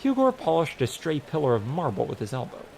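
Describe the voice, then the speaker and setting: A man narrating a scripted story audiobook in a wry, expressive storytelling manner. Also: fairly high pitch, brisk, creaky, slight background noise, low-quality recording.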